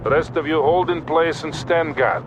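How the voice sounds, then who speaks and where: An actor playing a weary, authoritative Soviet colonel in a video game, giving deliberate orders over a lo-fi radio. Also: male voice, fairly high pitch, close to an everyday voice. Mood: measured, commanding. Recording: dull lo-fi radio, loud battle noise.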